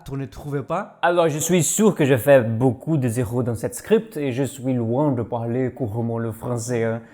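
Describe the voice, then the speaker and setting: A man's voice: on the high side, a little mellow. Careful man narrating. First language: Portuguese. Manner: careful, deliberate learner's delivery. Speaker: vlogger. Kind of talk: scripted narration of a learning vlog.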